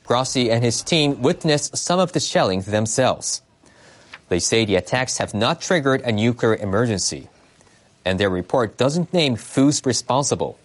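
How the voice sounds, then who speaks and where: Male newsreader, middle register, delivering scripted news copy in a measured, formal style. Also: medium pitch, animated.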